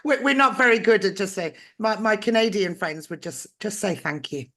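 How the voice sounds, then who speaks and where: A British woman hosting a podcast, chatty and encouraging, her voice going hoarse. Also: mid-range voice, moderate pace, a bit bright. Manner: encouraging, chatty, asks leading questions. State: losing her voice. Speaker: podcast host. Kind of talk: casual interview chat.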